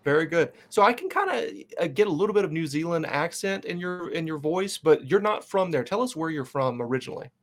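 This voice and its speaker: A man's voice: a little high, sing-song, a little fast, hardly any pauses. A man hosting a sports fan podcast, chatting in an upbeat, loose way with plenty of fillers. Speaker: podcast host. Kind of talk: casual interview chat.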